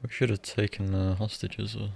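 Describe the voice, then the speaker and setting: A man's voice: on the low side, flat delivery, normal speed, creaky, a little mellow, soft background music. A man giving casual live game commentary as a streamer, offhand and sardonic, swearing now and then.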